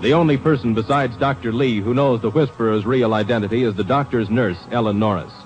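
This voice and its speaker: A man's voice: medium pitch, fairly quick, poor audio. An American man announcing and narrating a 1950s radio drama in a dramatic scripted style. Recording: old radio broadcast recording. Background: music.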